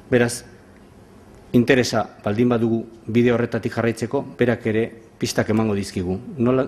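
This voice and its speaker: Mid-pitched man introducing a speaker. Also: a little mellow. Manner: formal, with frequent filler hesitations. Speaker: event moderator.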